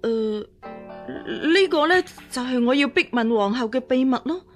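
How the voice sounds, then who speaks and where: An actress playing a scheming impostor empress dowager in a radio drama, deferential, hesitant and pleading. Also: female voice, high voice, animated, unhurried, soft background music. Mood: nervous, deferential. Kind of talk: Cantonese radio drama acting.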